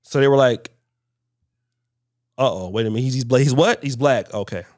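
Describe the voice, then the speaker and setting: A man's voice: medium pitch, normal speed, a little mellow, dry recording, clean studio sound. A man hosting a podcast discussion, often stumbling and repeating words as he searches for his phrasing. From United States. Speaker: podcast host.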